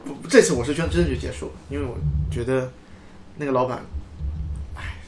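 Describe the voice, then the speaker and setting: A man co-hosting a casual motor racing chat, often repeating words and agreements in quick succession. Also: mid-range voice, background noise. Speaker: podcast co-host.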